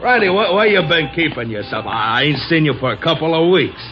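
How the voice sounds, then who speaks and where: An actor playing a sweet, slow, down-and-out American boxer in an old radio drama, stammering and repeating himself in a childlike, pleading way. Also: male voice, fairly high pitch, big pitch swings, music in the background. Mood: eager, friendly. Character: sweet, slow, punch-drunk down-and-out boxer, gentle and anxious. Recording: dull, noisy old radio recording.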